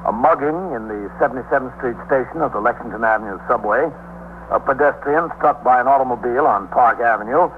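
A man's voice: mid-range voice, natural voice. Guy playing a police captain in an old radio drama, clipped n authoritative, tinny sound w/ light hum. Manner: clipped, matter-of-fact, authoritative. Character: male police precinct captain, brisk, authoritative, matter-of-fact. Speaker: radio drama actor and narrator. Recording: muffled narrowband 1950s radio, faint hum.

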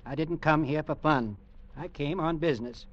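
An actor playing a cold, calculating older uncle, dry and condescending, in a mid-century radio drama. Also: male voice, fairly high pitch, normal speed, natural voice. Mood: cool, calculating. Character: cold, calculating older uncle, a former South Seas trader. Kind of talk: 1940s radio drama acting. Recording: muffled old radio recording.

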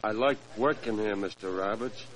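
An actor playing a sly, servile race-track mechanic who talks in uneducated slang, in 1940s radio drama style. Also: male voice, lowish voice, moderate pace, a bit bright, natural voice, rough recording. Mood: sly, insinuating. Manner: uneducated slangy talk with double negatives.

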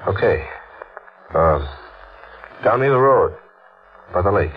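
An actor playing a guilty, evasive impostor in a 1940s American radio mystery, with an American accent and hesitant, clipped speech. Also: male voice, fairly low pitch, lively intonation, slow pace, slight background noise. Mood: tense, defensive. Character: uneasy, guilty male impostor posing as heir. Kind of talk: 1940s American radio mystery acting with first-person narration. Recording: dull old radio broadcast recording.

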